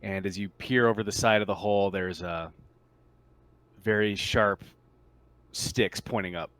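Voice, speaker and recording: A man with a fairly low voice, recorded close and dry. He acts as game master, narrating the scene in a casual, descriptive way with halting phrasing. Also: steady tone, a bit bright, clear, resonant tone, no echo. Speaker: game master of a tabletop RPG.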